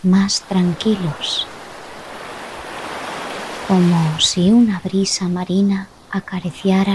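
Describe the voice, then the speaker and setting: A woman narrating a guided relaxation meditation, speaking gently and soothingly with long pauses between short phrases. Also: fairly low pitch, a little hiss or hum. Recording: loud ocean waves behind the voice. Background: sound of sea waves.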